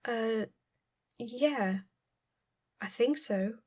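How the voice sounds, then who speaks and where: A woman's voice: fairly high pitch, extremely slow, darkish tone. A woman voicing a guarded, awkward teenage schoolboy with a casual, hesitant and evasive delivery in an audiobook.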